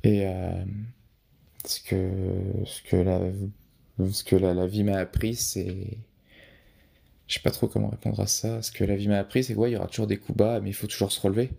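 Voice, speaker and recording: A man's voice: lowish voice, flat delivery, moderate pace, creaky, warm tone, husky. A young man answering interview questions in a casual, hesitant way, with frequent restarts and fillers. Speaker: podcast guest, photographer.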